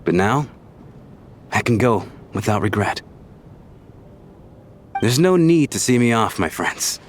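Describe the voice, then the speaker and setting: Weary, low-voiced wandering soul. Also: male voice, big pitch swings, slow pace, slight background noise. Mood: relieved, bittersweet. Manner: wistful, resigned, plain-spoken. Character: gentle, weary dead man, a wandering soul at peace. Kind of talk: English game dub voice acting. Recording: music under the voice.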